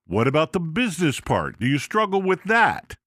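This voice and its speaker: A man's voice: a little high, huge pitch swings, normal speed, fairly bright tone, no echo, studio quality, voice pushed up, heavily acted. A man voicing a male builder who leads a coffee meeting and asks the group questions. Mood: earnest.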